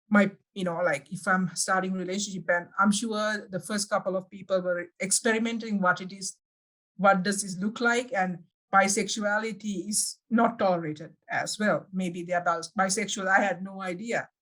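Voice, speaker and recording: A woman from Sri Lanka, a guest in an interview, giving reflective answers and often pausing to find her words. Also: mid-range voice, a bit bright, low-quality recording. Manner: thoughtful, pauses often to search for words.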